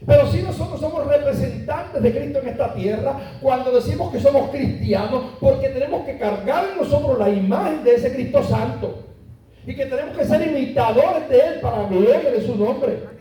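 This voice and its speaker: High-voiced preacher in an echoey church. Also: male voice, expressive, warm tone. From Puerto Rico. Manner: preaching with emphatic rises and slowed, stressed words. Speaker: pastor. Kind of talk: sermon. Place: church sanctuary. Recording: echoey church heard from a distance.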